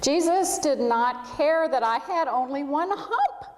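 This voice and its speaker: A woman's voice: high-pitched, lively intonation, unhurried, voice pushed up. A woman voicing an earnest, eager camel from a children's storybook, with emphatic delivery, in a church. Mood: delighted. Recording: echoey hall with long reverb.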